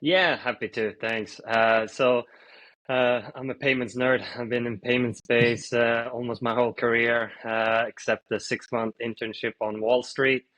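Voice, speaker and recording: A man in his thirties from Sweden, a fintech founder answering interview questions in an easygoing, story-like way with many pauses. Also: medium pitch, rough recording. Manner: relaxed storytelling with frequent "uh" pauses.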